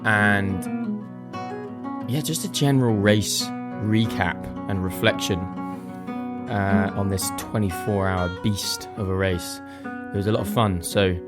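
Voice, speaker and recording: Croaky-voiced man hosting a chatty podcast. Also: lowish voice, slow pace, over loud music. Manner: chatty and self-deprecating, thinks aloud. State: slightly croaky voice. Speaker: podcast host. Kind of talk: casual solo podcast chat.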